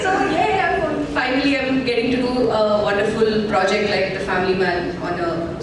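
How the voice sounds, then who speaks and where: An actress answering questions at a press conference in a chatty, upbeat way. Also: female voice, mid-range voice, distant mic. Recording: echoey hall, mic from afar, noisy.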